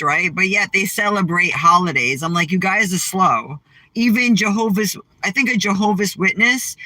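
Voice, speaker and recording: A woman's voice: pushed and bright, no room sound, poor audio. Blunt, sassy woman streamer. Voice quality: strong, low-set voice that projects. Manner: blunt, sassy, talks back to chat. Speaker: livestreamer. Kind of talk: casual livestream chat.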